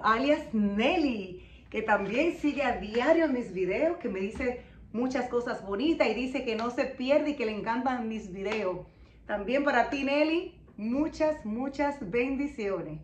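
A woman's voice: on the high side, a little hiss or hum. A woman from the Dominican Republic with a Dominican accent, chatting casually as host of a home vlog. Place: living room at home. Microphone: camera a few meters away. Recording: roomy, distant microphone.

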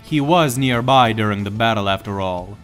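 A man's voice: on the low side, moderate pace, a bit bright, soft background music. A man reading scripted, dramatic story narration as the narrator.

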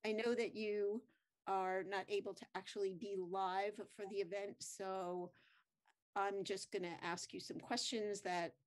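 A woman's voice: medium pitch, level tone, a little slow. Casting: woman host, chatty, loose questions, webcam call. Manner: friendly, rambling questions with many fillers. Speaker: interviewer host. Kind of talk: casual video-call interview. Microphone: webcam on a video call.

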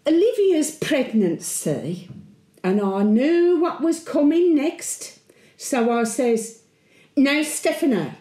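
An actress playing a chatty, sentimental Birmingham widow of over a hundred in a homely, confiding monologue. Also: female voice, on the high side, dark tone, echoey room. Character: 101-year-old widowed Brummie great-grandmother, chatty, sentimental, plain-spoken. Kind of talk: dramatic monologue, intimate storytelling.

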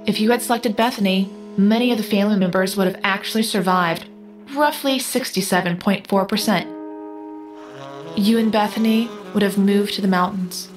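A woman's voice: mid-range voice, moderate pace, lightly acted. A woman playing a cold, accusing apparition of a dead soldier in an audio drama, speaking with relentless deliberation. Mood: cold, haunting. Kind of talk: scripted audio drama acting. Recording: music under the voice.

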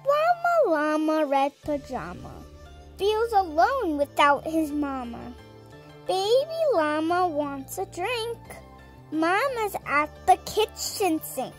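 A seven-year-old girl reading a children's story aloud in a deliberate, sing-song, emphatic way. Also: extremely high pitch, very animated, soft background music. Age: child, seven years old. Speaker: storyteller reading a picture book.

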